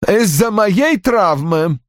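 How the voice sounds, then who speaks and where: A man's voice: high-pitched, animated, slow, no echo, studio quality, voice pushed up. A man voicing a bitter, brusque older father in an audiobook, irritable and defensive, with cold, emphatic delivery. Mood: indignant. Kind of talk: audiobook character acting.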